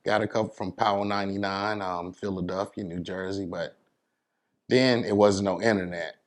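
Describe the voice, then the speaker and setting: Low-voiced, laid-back American man. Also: steady tone, moderate pace. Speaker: DJ, interview guest. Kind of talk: casual interview chat.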